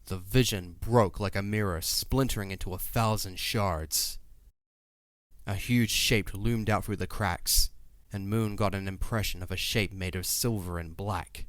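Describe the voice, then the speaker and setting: A man's voice: fairly low pitch, fairly bright tone, no room sound. A man narrating a fantasy audio drama in a dramatic, scripted storytelling style. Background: music.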